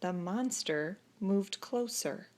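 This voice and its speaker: A woman narrating a children's story expressively, with deliberate phrasing and dramatic pauses. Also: mid-range voice, animated. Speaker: storybook reader.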